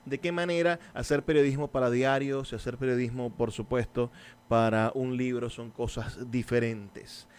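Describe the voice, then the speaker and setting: Radio host, mid-pitched man. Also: steady tone, normal speed, a little mellow, clear, resonant tone. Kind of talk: radio interview hosting and announcements.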